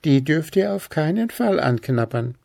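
A man's voice: fairly high pitch, sing-song, normal speed, creaky, darkish tone, no room sound, studio quality, pitched above the performer's own voice. A man voicing an eager, giggly little forest animal in a children's story.

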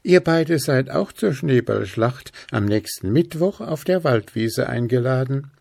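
A small male forest critter, as a man voices him, pitched higher than a man's voice and a little gruff. A grainy rasp runs through it, and the pace is unhurried. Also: expressive, darkish tone, no echo, clean studio sound. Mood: friendly. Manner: gruff, then welcoming.

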